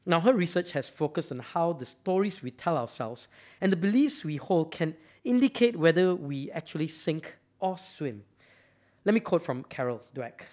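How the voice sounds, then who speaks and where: A man's voice: on the high side, expressive, normal speed, darkish tone. A man from Malaysia giving a motivational talk, emphatic and often repeating words as he builds up to a point. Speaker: speaker.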